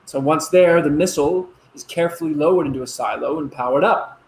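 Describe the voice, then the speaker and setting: An actor playing a proud young missile officer in a stage play, explaining earnestly with dramatic pauses. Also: male voice, a little high, vocal fry, low-quality recording. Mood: eager, explaining. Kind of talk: conversational stage-play dialogue.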